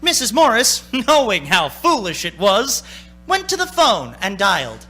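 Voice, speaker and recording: Actor as high, very bright suspense narrator, male. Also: wildly swooping pitch, measured pace, faint music behind, heavily acted. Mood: suspenseful. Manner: theatrical, suspenseful. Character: suspenseful, deliberate storyteller of a radio thriller. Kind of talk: dramatic scripted narration.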